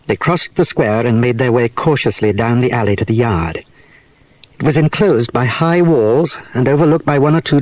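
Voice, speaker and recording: A man's voice: mid-range voice, darkish tone, no room sound, rough recording. A man narrating a children's story from a script.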